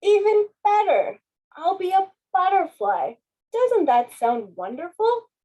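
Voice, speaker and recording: A woman's voice: extremely high pitch, big pitch swings, takes it slowly, warm tone, voice pushed up, exaggerated voice, low-quality recording. A woman voicing a serene, self-assured female caterpillar in a children's storybook, with a breezy manner. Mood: bright, breezy. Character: serene, confident female caterpillar, cheerful about transforming.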